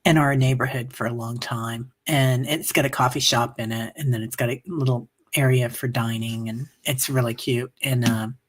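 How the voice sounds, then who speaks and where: A woman's voice: rumbling low, steady tone, moderate pace, few pauses, breathy edge. A middle-aged woman hosting a livestream, chatting casually and reading viewers' comments aloud, with lots of fillers. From United States. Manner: rambling, reads chat comments aloud, many fillers. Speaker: livestream host. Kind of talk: casual chat with live chat.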